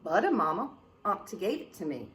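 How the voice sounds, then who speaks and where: A woman reading aloud, voicing a young, simple-minded boy possum who answers softly and meekly. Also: medium pitch, sing-song, brisk, roomy sound, heavy background noise, pitched below the performer's own voice, lightly acted. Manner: soft, meek replies.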